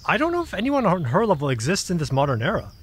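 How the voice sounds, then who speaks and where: A man voicing an ancient, dry and sceptical mythical creature in an audio drama, husky and theatrical, explaining things in a measured way. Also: sits high, wildly swooping pitch, full character voice. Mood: knowing, explanatory.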